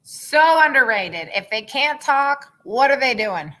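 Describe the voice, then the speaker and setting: A fairly high-pitched woman with a bright, sharp tone and a very lively, swooping delivery. She talks as a founder being interviewed, enthusiastic and emphatic about her views. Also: a little slow, poor audio. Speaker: company founder and guest. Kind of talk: casual interview chat.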